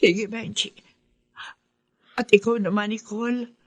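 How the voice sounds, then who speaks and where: A woman's voice: medium pitch, steady tone, measured pace, no room sound, lightly acted. A woman in a Filipino radio drama playing an earnest, emotive, self-sacrificing mother who struggles to raise her children. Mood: sorrowful, resolute. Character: struggling, self-sacrificing widowed mother of five, market vendor.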